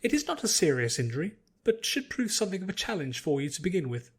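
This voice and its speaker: A man voicing a formal, patient older priest who instructs a pupil in a measured way, in an audiobook story. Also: high-pitched, big pitch swings, slightly rough, exaggerated voice. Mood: solemn, encouraging. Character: elderly male priest trainer, formal and patient. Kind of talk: dramatised audiobook character voice.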